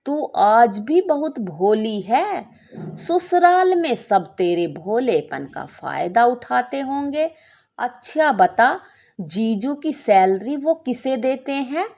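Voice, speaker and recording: A woman's voice: a little high, big pitch swings, takes it slowly, a little mellow, exaggerated voice. A woman voicing a manipulative, worldly young married woman in a story, knowing and condescending. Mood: condescending, coaxing.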